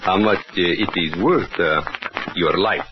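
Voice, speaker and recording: A knife-wielding Mexican killer from an old radio drama, matter-of-fact and amused, with a Mexican Spanish accent. His voice is deep, and the sound is muffled and slightly hissy, like a vintage broadcast. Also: measured pace. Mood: calmly menacing. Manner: broken English, chuckling, matter-of-fact menace. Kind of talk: 1940s radio acting. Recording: dull old radio broadcast with faint hiss.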